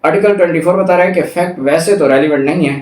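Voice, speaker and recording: A man's voice: high-pitched, rapid-fire, a little mellow. A man with a Pakistani accent giving an explanatory law lecture, going through it step by step. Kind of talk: explanatory lecture, reading articles aloud and explaining them. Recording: echoey room, distant microphone.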